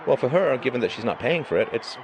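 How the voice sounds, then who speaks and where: A middle-aged man with a hoarse voice, hosting a live stream and talking through his views in an emphatic, lecturing monologue. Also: mid-range voice, sing-song, fast talker, a crowd in the background. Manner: emphatic, lecturing, with rising bursts of stress. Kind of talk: monologue commentary.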